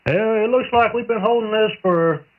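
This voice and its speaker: A man's voice: high-pitched, moderate pace. A man chatting casually as a radio operator, with folksy, rural phrasing. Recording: tinny narrowband radio transmission, far from mic.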